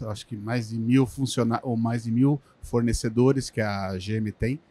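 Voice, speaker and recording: A man puts a question to a panel, starting over several times as he finds his words. His voice is a little husky and sits in a middle range. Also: medium pitch, darkish tone. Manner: halting, many restarts while forming a question.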